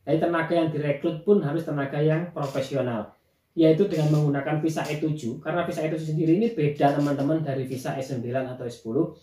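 A man's voice: a little high, darkish tone. A man, explaining things informally and instructively, speaking directly to listeners and repeating points for emphasis. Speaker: host of an informational channel for migrant job seekers. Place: small indoor room at a desk. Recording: roomy echo, distant microphone.

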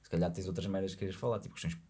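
A man with a European Portuguese accent hosting a podcast, talking frankly and rambling with lots of fillers, in a small studio on a boom-arm mic. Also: steady tone, racing, dark tone, husky. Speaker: podcast host. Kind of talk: casual podcast chat.

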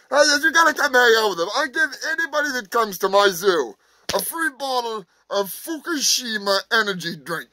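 A man's voice: extremely high pitch, gravelly, sharp tone, heavily acted. A man playing a manic, meth-smoking roadside zoo owner who rants profanely and boasts like a showman. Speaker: comedian in character. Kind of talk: crude sketch comedy monologue.

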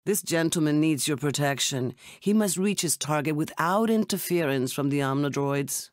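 An actress voicing a cool, poised female secret-agent assistant in a superhero video game, measured, composed and formal. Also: sits low, animated, no room sound, clean studio sound. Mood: composed, concerned. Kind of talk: scripted game voice clips.

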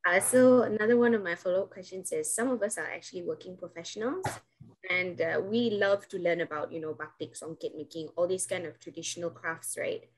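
A woman's voice: on the low side, fairly quick, slight background noise, low-quality recording. A young adult woman from Brunei, asking a question on a video call in a chatty way with lots of likes and you-knows. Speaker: audience member asking a question.

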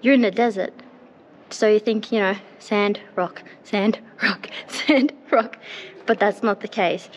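A young woman narrating a travel vlog in a bright, enthusiastic, chatty way, filming herself on an action camera held at arm's length. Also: mid-range voice, unhurried, slight background noise. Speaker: travel vlogger.

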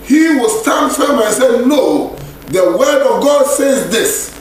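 Ghanaian preacher guy on the radio, high lively voice, super slow, echoey w a bit of hiss. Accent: Ghanaian English. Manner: repeats and intones scripture lines slowly. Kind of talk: radio sermon.

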